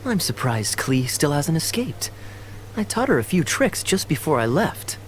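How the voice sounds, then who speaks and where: A man's voice: fairly high pitch, sing-song, normal speed, soft background music. A man voicing a suave, easygoing young knight with a sly, playful and casual manner, in video game style.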